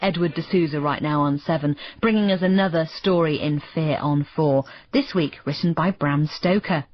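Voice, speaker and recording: Deep-voiced female radio announcer. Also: moderate pace, faint music behind. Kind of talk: scripted continuity announcement. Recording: noisy lo-fi radio broadcast.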